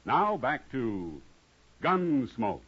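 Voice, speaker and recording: A man's voice: mid-range voice, very animated, extremely slow, background noise. A man delivering a folksy, emphatic 1950s radio commercial as announcer. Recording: muffled old radio recording.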